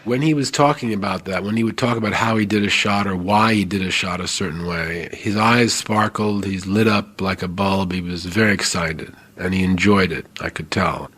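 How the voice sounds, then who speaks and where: A man's voice: low-pitched, moderate pace, hardly any pauses, a bit bright, slightly rough. A man reflecting as a film commentator in a documentary interview.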